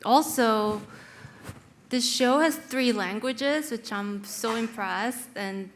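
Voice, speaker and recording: A woman's voice: on the high side, slow. Woman moderator, light faint hiss. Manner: friendly, a little scattered. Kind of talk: live panel interview. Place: stage before live audience.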